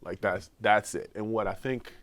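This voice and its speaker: Deep-voiced man with a cold. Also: fairly even intonation, moderate pace, fairly bright tone. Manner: earnest, repeats words while searching for them. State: has a cold, sniffling. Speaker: guest researcher. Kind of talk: podcast conversation.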